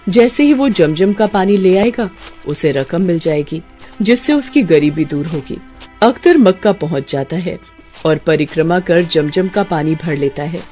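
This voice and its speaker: A woman narrates a cartoon tale in a scripted storytelling style. Her voice sits slightly low, and soft music plays underneath. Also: expressive, unhurried.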